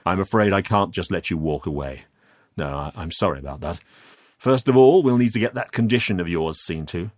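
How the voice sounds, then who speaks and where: Man voicing a cold, commanding, gravelly aristocrat. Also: sits low, fairly quick, a little mellow. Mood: smooth, condescending. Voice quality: gravelly edge. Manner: crisp, commanding, scornful. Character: cold, commanding, menacing aristocratic older man, crisply condescending.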